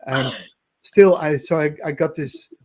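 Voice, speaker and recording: A man co-hosting an interview. He speaks eagerly but haltingly, often restarts his sentences, and laughs easily. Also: a little high. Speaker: co-host interviewer. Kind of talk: conversational interview.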